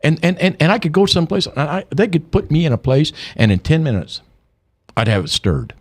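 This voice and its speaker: Animated older man with lively pitch. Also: a little fast, a little hiss or hum. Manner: animated storyteller, repeats words when excited. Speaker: guest. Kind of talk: radio interview.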